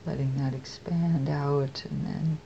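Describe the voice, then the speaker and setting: An American woman leading a guided meditation as a teacher, speaking softly and unhurriedly with long pauses. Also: deep voice, steady tone, gravelly, highs cut off, slight background noise.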